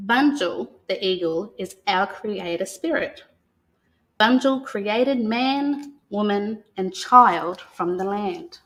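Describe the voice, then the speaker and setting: An Australian woman speaking as a guest storyteller, talking and reading a picture book aloud in a gentle manner. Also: mid-range voice, animated, takes it slowly, low-quality recording. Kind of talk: unscripted talk and picture-book read-aloud.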